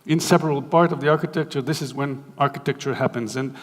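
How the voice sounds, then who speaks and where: Israeli-accented male lecturer, halting delivery, mid pitch, long hall reverb. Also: steady tone. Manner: halting, many restarts and fillers. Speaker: guest lecturer. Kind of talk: architecture lecture.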